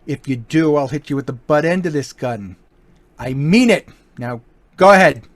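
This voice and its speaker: An actor in an amateur old-time radio play, voicing a smooth, mocking gentleman burglar with a lively, swinging delivery. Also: on the high side, moderate pace, no room sound, lightly acted. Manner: smooth, mocking, turns menacing. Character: suave, cocky male gentleman burglar.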